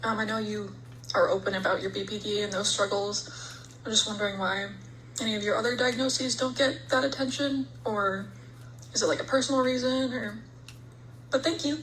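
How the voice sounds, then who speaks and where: A woman's voice: medium pitch, steady tone, moderate pace, fairly bright tone, slight background noise, poor audio. A woman politely and hesitantly asking a question in a voice memo, with many ums. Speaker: fan sending a voice memo.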